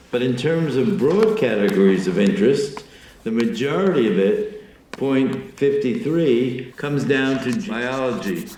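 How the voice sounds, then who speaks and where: Man as exacting professor. Didactic, mid-pitched, echoing room, audio drama. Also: a little mellow, a little hiss or hum, natural voice. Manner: didactic, deliberate emphasis. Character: brisk, exacting male college lecturer. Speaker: lecturer. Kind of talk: classroom lecture in audio drama. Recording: roomy classroom echo.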